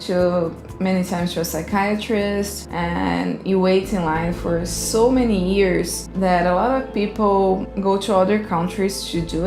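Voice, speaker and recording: Chatty Brazilian woman vlogger, roomy echo. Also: medium pitch. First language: Portuguese. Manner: chatty, informal, many fillers. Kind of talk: casual talk to camera.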